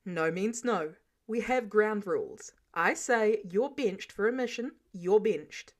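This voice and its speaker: A woman's voice: mid-range voice, slow pace. A woman voicing a stern, protective man who lays down rules in a sarcastic, bossy way for a story reading. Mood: stern, exasperated. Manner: sarcastic, bossy, clipped commands. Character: stern, exasperated, protective adult man, mentor laying down rules.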